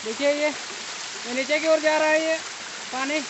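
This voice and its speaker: Young guy from odisha filming on his phone in the woods, excited, loud water noise all around. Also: very high voice. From Odisha, India. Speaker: vlogger. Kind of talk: casual vlog narration while hiking. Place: outdoors in a forest by a waterfall. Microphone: phone held at arm's length. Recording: noisy outdoor phone recording. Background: rushing water.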